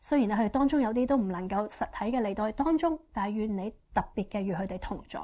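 A woman interprets a church testimony sentence by sentence, speaking quickly and fluently with frequent fillers. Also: medium pitch, expressive, warm tone. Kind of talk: consecutive interpretation.

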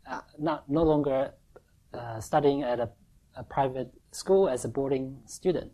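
Very deep-voiced woman preaching. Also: warm tone, low-quality recording. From Taiwan. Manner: halting, with short phrases and pauses for the interpreter. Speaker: preacher giving testimony. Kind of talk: sermon with line-by-line interpretation.

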